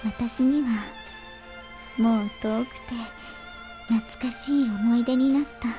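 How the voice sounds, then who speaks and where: An actress voicing a gentle, childlike young woman in a visual novel, speaking softly and wistfully. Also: a little high, fairly even intonation, normal speed, close to an everyday voice. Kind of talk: anime visual novel voice acting. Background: soft game music.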